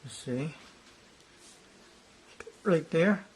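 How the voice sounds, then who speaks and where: A man's voice: a little high, lively intonation, extremely slow, darkish tone. A man presenting a casual, unscripted hobby show-and-tell in a rambling, repetitive way. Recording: noticeable background noise.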